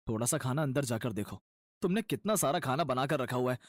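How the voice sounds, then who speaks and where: An actor playing a kind-hearted, troubled young farmer husband in a cartoon story, earnest and plaintive. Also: male voice, mid-range voice, expressive, a little fast, dry recording, clean studio sound, natural voice. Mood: stern. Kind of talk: Hindi cartoon story acting.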